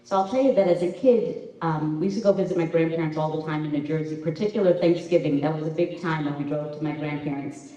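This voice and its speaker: A middle-aged woman from the Boston area, a guest at a live community interview, tells stories from her childhood with many pauses. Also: deep voice. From Boston area, USA. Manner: warm storytelling, many um pauses. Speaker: interview guest, preschool director. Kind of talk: casual conversational interview. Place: community center event room. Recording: echoey room, far from mic, dull sound.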